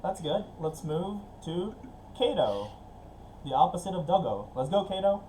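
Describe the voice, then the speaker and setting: An upbeat man hosts a casual online webinar over a video call, chatting easily and laughing between introductions. His voice sounds mellow and soft-edged, with a faint hum of background noise. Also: high-pitched, big pitch swings, a little slow, low-quality recording. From Philippines. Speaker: webinar host. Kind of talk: casual online meeting hosting.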